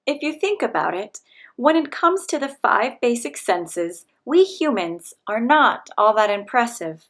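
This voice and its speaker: Gentle woman reading a picture book. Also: fairly high pitch, very animated. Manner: gentle, measured, speaking to children. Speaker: children's book reader.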